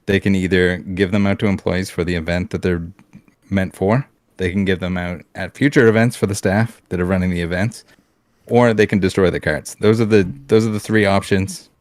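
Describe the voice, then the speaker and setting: Low-voiced blunt man ranting. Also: fairly even intonation, normal speed, no room sound, studio quality. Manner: blunt, heated ranting with swearing and restarts. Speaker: podcast co-host. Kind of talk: casual podcast chat.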